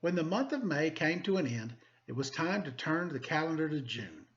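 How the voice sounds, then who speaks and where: A man's voice: a little high, sing-song, normal speed, dull audio. A man hosting story time, reading a picture book aloud to children with playful, self-deprecating, chatty asides.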